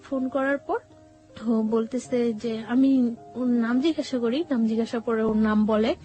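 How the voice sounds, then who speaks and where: High-pitched Bangladeshi woman telling her story. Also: steady tone, a little slow, mellow. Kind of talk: personal storytelling in conversational talk. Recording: music bed behind the voice.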